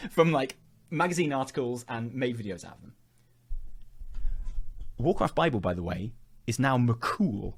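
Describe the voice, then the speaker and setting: Rambling man chatting on a stream. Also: on the high side. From England. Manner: rambling, joking, self-interrupting. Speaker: channel host. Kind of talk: casual livestream chat.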